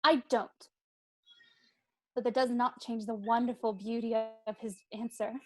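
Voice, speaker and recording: A woman's voice: a little high, steady tone, a little slow, rough recording. A woman playing a prim, naive young country lady with earnest, formal speech in a comic stage play reading. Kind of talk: dramatic reading of Victorian comedy play.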